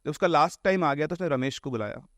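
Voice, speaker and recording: A man's voice: fairly high pitch, fast talker, fairly bright tone, dry recording, studio quality. A man telling ghost tales casually in an explanatory way, mixing in English words. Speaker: storyteller on a horror podcast.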